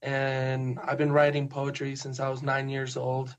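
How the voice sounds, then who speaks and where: A man from Spain with a Spanish accent, a guest poet who chats hesitantly and reads his poems slowly and softly. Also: mid-range voice, completely flat delivery. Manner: hesitant, soft-spoken, slow measured reading. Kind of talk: casual chat and poetry reading.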